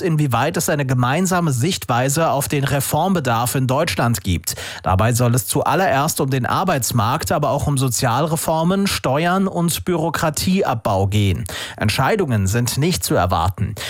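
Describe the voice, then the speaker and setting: A man's voice: on the high side, no room sound, studio quality. A man reading scripted radio news as the newsreader. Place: dry studio.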